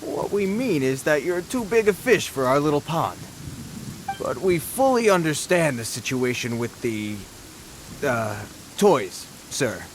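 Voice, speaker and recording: An actor playing a jittery, deferential bandit underling in a video game, hesitant and placating. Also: male voice, fairly high pitch, sing-song, measured pace, a little hiss or hum. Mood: placating, anxious.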